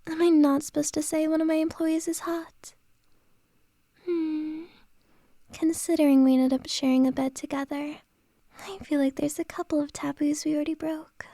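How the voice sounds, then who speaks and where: A playful, flirtatious boss lady teases softly in a breathy whisper, as a woman acts her out in ASMR. Her voice sits high but mellow, drawn out slowly. It sounds dry and close to the mic. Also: level tone, no room sound. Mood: flirty. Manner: soft unvoiced whisper, teasing. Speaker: ASMR roleplay performer.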